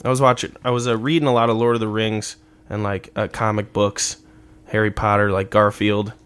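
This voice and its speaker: A man's voice: on the low side, flat delivery, fairly quick, fairly bright tone, clean tone. A man in his mid twenties hosting a casual comedy chat, talking in an offhand, slangy, profane way. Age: mid 20s. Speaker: podcast host.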